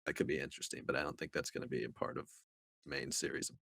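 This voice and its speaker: A man co-hosting a podcast, talking casually and thoughtfully in a halting way as he gives his take on things. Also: very deep voice, steady tone, gravelly, fairly bright tone, slightly rough, dry recording, studio quality. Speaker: podcast co-host reviewing books. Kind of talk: casual discussion.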